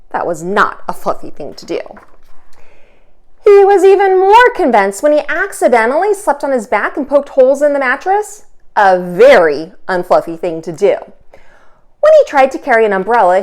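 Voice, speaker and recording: A woman teacher hosting a children's storytime, reading aloud expressively with dramatic pauses and emphasis. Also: fairly high pitch, a little mellow. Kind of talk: animated children's read-aloud.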